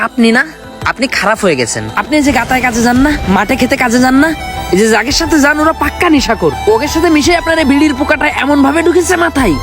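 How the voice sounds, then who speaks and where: A young man with a rural Bangladeshi accent playing a reproachful, pleading son in an amateur drama. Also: very high-pitched. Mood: exasperated. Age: young adult. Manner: reproachful, insistent. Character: upset, pleading young son scolding his smoker father. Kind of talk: amateur Bengali short drama acting.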